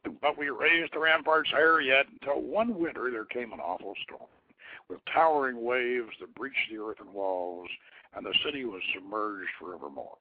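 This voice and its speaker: A man's voice: mid-range voice, breathy edge. Storybook priest-king, acted by a male narrator: big, bearded, hearty, learned, telephone-grade audio. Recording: thin phone-like internet radio line.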